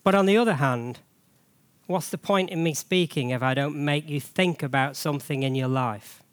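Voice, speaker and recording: A man's voice: a little high, normal speed, clear, resonant tone, no echo. Man with a cold, preaching. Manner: chatty, jokey, frequent rhetorical questions. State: losing his voice from a cold. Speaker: church treasurer giving a sermon. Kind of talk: informal church sermon.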